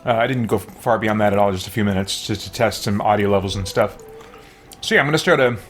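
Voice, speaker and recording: A man's voice: lowish voice, fast talker, a bit bright, soft background music. A man talking casually as he streams a video game.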